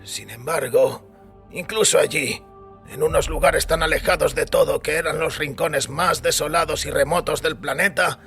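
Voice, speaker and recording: Guy doing a tired old priest telling his life story, rough gravelly voice, a bit higher pitched, wry, soft music behind. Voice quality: raspy, gravelly. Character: weary older priest recounting his wild, drunken youth. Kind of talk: dramatised audiobook acting. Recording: music bed under the voice.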